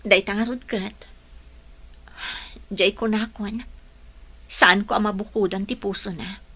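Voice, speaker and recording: A woman's voice: mid-range voice, steady tone, a little slow, sharp tone, slightly rough, heavily acted. A woman playing a devoted, lovesick young bride in an Ilocano radio drama, earnest and emotional, with a Filipino accent. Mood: wistful.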